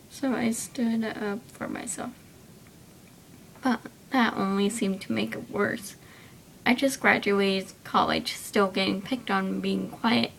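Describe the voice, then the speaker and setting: Girl reading her story out loud, kinda creaky voice, bit of rumbly noise behind her, trips on words now and then. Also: low-quality recording. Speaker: video creator reading own story. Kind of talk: amateur story reading to camera.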